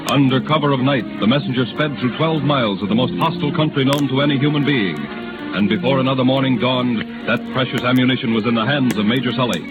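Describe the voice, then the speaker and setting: Brief: male narrator, vintage 1930s radio drama, formal and theatrical, mid pitch, narrow tinny band over music. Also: fast. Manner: solemn, theatrical, formal delivery.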